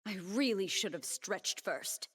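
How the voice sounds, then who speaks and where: An actress playing a solemn, determined young woman warrior in a video game, speaking in a measured, earnest, resolute way. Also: medium pitch, animated, fairly bright tone, husky. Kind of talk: scripted video game character voice lines.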